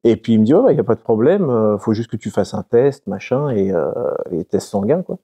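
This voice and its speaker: A young adult man from France, a guest talking casually in an interview, halting and full of fillers. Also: lowish voice, expressive, warm tone, breathy edge. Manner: halting, many fillers and restarts.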